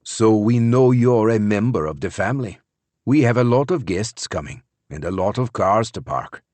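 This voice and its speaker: A man's voice: on the low side, animated, normal speed, darkish tone, no room sound, natural voice. A man voicing a tall, bearded property manager who speaks formally, with a sing-song foreign lilt. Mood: courteous. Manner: formal, courteous.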